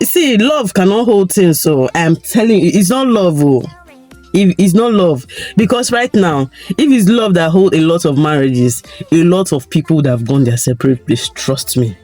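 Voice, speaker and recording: A Nigerian woman with a croaky, tired voice, giving her opinions in a chatty vlog and pushing her points with rhetorical questions. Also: lowish voice, expressive, moderate pace, a little mellow, soft background music. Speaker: vlogger.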